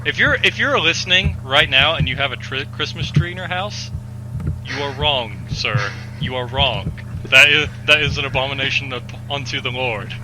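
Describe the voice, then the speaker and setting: A man in his twenties chatting casually as a podcast host, animated and given to joking exaggeration. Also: mid-range voice, normal speed, over music, rough recording. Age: 20s.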